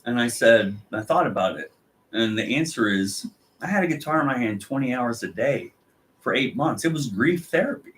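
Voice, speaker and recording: A man's voice: medium pitch, animated, moderate pace, fairly bright tone, slight background noise. A man co-hosting a live chat show, talking casually, trailing off mid-sentence and laughing often. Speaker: co-host.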